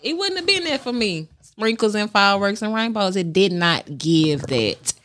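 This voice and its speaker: A woman co-hosts a casual podcast and airs blunt opinions full of cussing. Her voice sits at a middle pitch. She talks plainly and without holding back. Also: animated, moderate pace, fairly bright tone. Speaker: podcast co-host. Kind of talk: casual chat.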